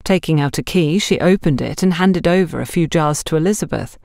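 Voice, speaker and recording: A woman's voice: deep voice, a little fast, gravelly, a little mellow, no room sound, clean studio sound. A woman narrating a scripted mystery story as an audiobook.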